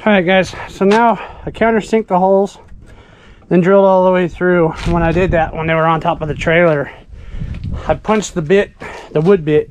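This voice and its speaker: A man with a fairly high voice talks through a hands-on project step by step, in a plain and practical way. He is recorded on a body-worn camera, and faint noise sits behind him. His voice sometimes moves farther from the mic. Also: darkish tone. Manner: plain step-by-step explaining while working with tools. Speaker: channel host. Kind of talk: casual how-to narration. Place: driveway outdoors, then house kitchen floor. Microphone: body-worn action camera.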